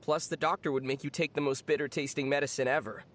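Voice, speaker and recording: Low-voiced, wry young female traveler. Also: fast talker. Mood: dry. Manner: dry, teasing, deadpan. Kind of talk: video game character dialogue.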